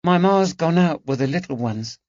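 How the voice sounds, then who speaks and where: A man's voice: fairly high pitch, lively intonation, moderate pace, a little mellow, dry recording, voice pushed up, lightly acted. A man voicing a timid, hesitant nineteen-year-old lady's maid who speaks in plain working-class talk. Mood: anxious. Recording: dull, dry audiobook recording.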